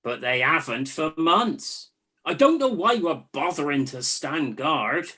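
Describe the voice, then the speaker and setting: Performer as Trojan night-watch soldier, husky, unhurried, bored, dry, mocking, comic radio drama. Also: medium pitch, animated, bright, forceful tone, heavily acted. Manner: dry, mocking, matey banter. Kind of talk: comic radio play dialogue.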